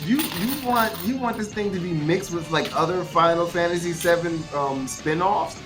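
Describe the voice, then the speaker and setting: A man's voice: high-pitched, moderate pace, a bit bright, soft background music. A man on a casual gaming podcast panel, arguing bluntly and repeating himself, with some swearing. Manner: opinionated, blunt, repetitive, swearing.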